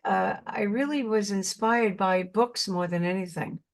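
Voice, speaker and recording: An older woman with a New York accent speaks in a mid-range voice, earnest and halting. She circles back over her words and restarts as she reaches for what she means. Her tone is plainly conversational. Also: mid-range voice, vocal fry. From Brooklyn, New York. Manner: earnest, halting, repeats words while searching. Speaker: actress guest. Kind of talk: casual interview chat.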